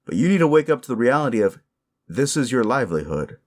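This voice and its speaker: A man's voice: mid-range voice, very animated, fast talker, lightly acted. A man playing a sleazy, bullying werewolf office boss in an audio roleplay, condescending, cajoling and foul-mouthed as he berates the listener. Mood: berating, lecturing. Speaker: voice actor performing a roleplay.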